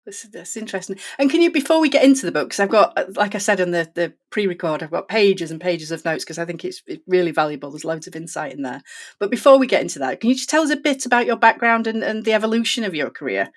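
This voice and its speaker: A podcast host who talks quickly, with a voice that is neither high nor low. They ask brisk, polite questions to open up the conversation. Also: lively intonation. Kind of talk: interview questions.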